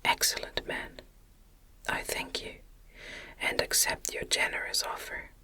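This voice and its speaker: Casting: female narrator as a lonely, earnest monster for an audiobook, fully whispered, very bright hiss, literary and pleading. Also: measured pace. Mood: grateful, earnest. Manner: formal, earnest, literary phrasing. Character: anguished, pleading male monster, eloquent and lonely. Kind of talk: whispered ASMR audiobook reading.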